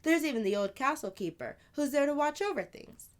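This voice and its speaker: A woman voicing a playful, knowing older sister who tells fanciful tales in an animated, confiding way for a children's storybook. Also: sits high, a little fast, pitched above the performer's own voice. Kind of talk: children's storybook read-aloud.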